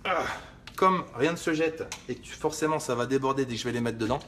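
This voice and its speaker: A man's voice: mid-range voice, quick pace, fairly bright tone, slight background noise. A young man hosting a casual live stream, joking and rambling to his viewers as he cuts lemons. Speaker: fitness livestream host. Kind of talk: casual live cooking demo.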